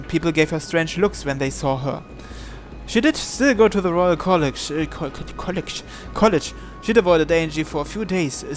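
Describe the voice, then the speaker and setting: A man reading visual novel narration aloud, sometimes stumbling and repeating words, with music behind. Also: fairly high pitch. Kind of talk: live read-aloud narration in English.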